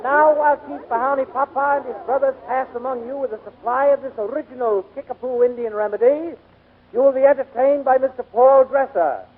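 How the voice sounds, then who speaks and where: An actor playing a pompous, blustery medicine-show colonel with a husky voice, delivered as a theatrical barker in 1940s radio style. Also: male voice, very high voice, a little fast. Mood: grandiose sales pitch. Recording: muffled lo-fi 1940s radio broadcast.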